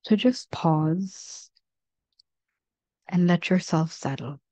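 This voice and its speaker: A woman with a low, mellow voice guides a meditation. Her delivery is gentle and measured, very slow, with long pauses between phrases. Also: no room sound.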